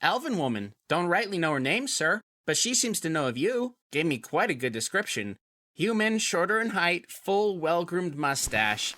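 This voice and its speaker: A man's voice: high-pitched, sing-song, normal speed, sharp tone, no echo, clean studio sound, heavily acted. A man playing a deferential, plain-spoken messenger with rustic phrasing in a fantasy audio drama. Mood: eager, deferential. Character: polite, plain-spoken messenger with urgent news.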